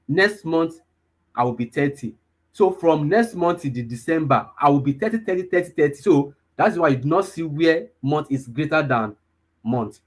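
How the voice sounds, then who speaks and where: Husky man teaching online. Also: fairly high pitch. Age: 20s. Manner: repetitive, checks in with listeners often. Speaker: data analysis instructor. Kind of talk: live online class.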